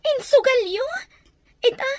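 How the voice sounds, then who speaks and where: A woman's voice: very high-pitched, unhurried, no echo. A woman playing an upset grown daughter who supports her parents, in an Ilocano radio drama, with an Ilocano accent. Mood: reproachful, upset.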